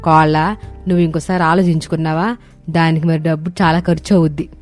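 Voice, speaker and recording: A woman's voice: sits low, fairly even intonation, brisk, darkish tone, natural voice. An elderly mother-in-law in a cartoon family tale, played by a woman, talks in a homely, colloquial way, fond yet skeptical. Faint music plays behind. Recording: music bed under voice.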